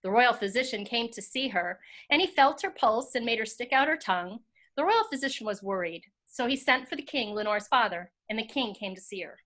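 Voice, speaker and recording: An American woman serves as host and storyteller. Her voice sits fairly high as she narrates in a storybook reading style. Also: lively intonation, a little fast, a bit bright. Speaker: host and narrator, school teacher.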